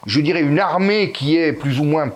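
A man's voice: on the high side, moderate pace. A man of Italian origin, a guest in a political interview, talking with many fillers and restarts and pushing hard on key words. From Italy. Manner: hesitant, frequent fillers and restarts, emphatic rises.

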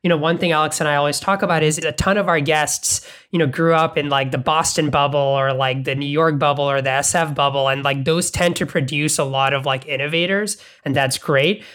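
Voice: medium pitch, steady tone, brisk, hardly any pauses, fairly bright tone. A podcast host from Bangladesh, talking in a casual, enthusiastic way to a guest, often repeating words while thinking aloud. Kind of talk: casual interview conversation.

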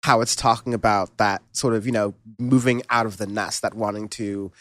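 A man hosting a music podcast in casual chat, relaxed and rambling with lots of fillers and restarts. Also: fairly low pitch, moderate pace, no room sound. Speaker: podcast host.

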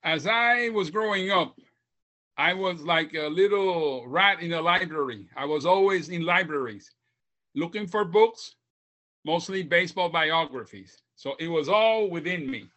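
A man in his seventies from Puerto Rico tells his life story as a podcast guest, heartfelt and reflective. His voice is bright and forceful, and sits somewhat high for a man. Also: lively intonation. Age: 70s. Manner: heartfelt, reflective, speaks slowly. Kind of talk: reflective storytelling interview answers.

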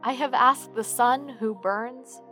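A woman giving voice to the moon, a tender, lovestruck female who speaks slowly and earnestly. The voice sits slightly high. It sounds like a fairy tale read aloud. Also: faint music behind. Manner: soft, earnest storybook telling.

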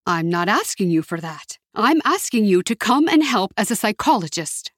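A woman's voice: mid-range voice, sing-song, moderate pace, dry recording, studio quality. A woman voicing an anxious older aunt from Mexico, with a trembling, pleading manner and a touch of disapproval. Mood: worried.

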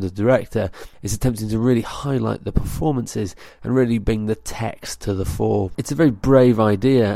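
Low, gravelly man reviewing theatre. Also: dark tone, no room sound. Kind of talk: scripted spoken review.

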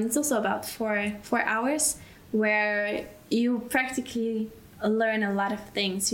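A young woman from Belgium, a mission school student, answering interview questions in an unhurried way and often pausing to find her words. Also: medium pitch, a little hiss or hum, low-quality recording. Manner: unhurried, searching for words, restarts.

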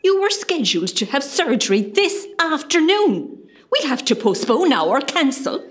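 A woman's voice: high voice, sing-song, moderate pace. A woman playing a bossy, authoritative director of nursing who scolds patients in an amateur radio comedy. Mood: scolding. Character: stern, bossy female hospital director of nursing.

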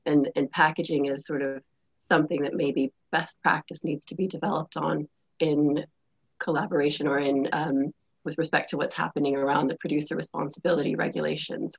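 A woman with a low, gravelly, husky voice, her throat worn and a cough hanging on. She explains in a measured way, with plenty of fillers. The sound is dull and lo-fi. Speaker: sustainability panelist. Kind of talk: panel discussion.

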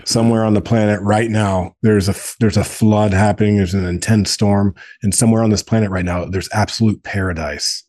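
Earnest husky man in his forties. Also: lowish voice, steady tone, fairly quick, hardly any pauses, a little mellow. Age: 40s. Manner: earnest, repeats phrases for emphasis. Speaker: guest, men's group co-founder. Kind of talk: casual podcast conversation.

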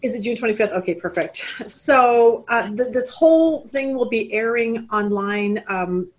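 A woman hosting a Bible study webinar over a video call, chatty and rambling, with lots of fillers and restarts. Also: mid-range voice.

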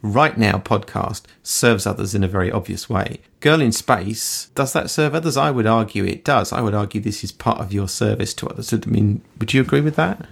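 A man hosting a conversational interview, putting reflective questions in a measured, thoughtful way. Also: fairly low pitch, vocal fry, husky. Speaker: podcast host, interviewer.

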